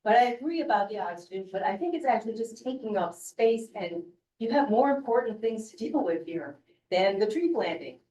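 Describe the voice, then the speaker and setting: A woman with a loud voice pushes hard through a meandering, confrontational comment, clearing her throat as she goes. She is far from the microphone, so her voice carries across a roomy, echoing meeting hall. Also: medium pitch, lively intonation, fairly quick. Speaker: member of the public commenting. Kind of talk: public comment at a community meeting. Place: community meeting room. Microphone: room mic from across the room. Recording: echoey room, far from mic.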